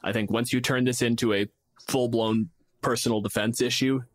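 A man with a mid-pitched voice talks casually as a podcast co-host. He reasons through game scenarios like a game master, illustrating points as he goes. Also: steady tone, moderate pace. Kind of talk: casual tabletop gaming discussion.

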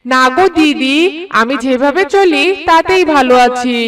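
A woman voicing a gentle, loving widowed village mother in a cartoon, with a soothing, homely manner. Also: high-pitched, measured pace, a crowd in the background. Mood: content. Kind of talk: cartoon voice acting.

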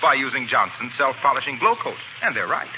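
An actor playing a cheerful, persistent announcer in a 1940s radio comedy, polished and salesy, with an American accent. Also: male voice, mid-range voice, sing-song, fairly quick, over music, close to an everyday voice. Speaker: announcer and sponsor's pitchman. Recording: dull, noisy 1940s radio recording.

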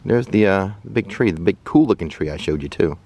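A chatty American man in his forties gives a relaxed outdoor tour, his lowish voice bouncing up and down with lively swings of pitch. He talks straight to the camera held at arm's length, open air around him. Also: fairly quick. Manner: chatty, upbeat, pointing things out to viewers. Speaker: travel vlogger. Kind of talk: casual travel vlog narration. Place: outdoors at a riverside park and on a kayak.

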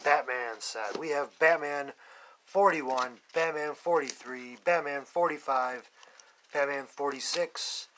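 A man's voice: mid-range voice, pushed and bright, a little hiss or hum, poor audio. A young man casually narrating a comic book unboxing, listing issues plainly and cursing bluntly when annoyed, recorded indoors on an overhead phone camera. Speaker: comic collector vlogger. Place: indoors at a table, filming from above.

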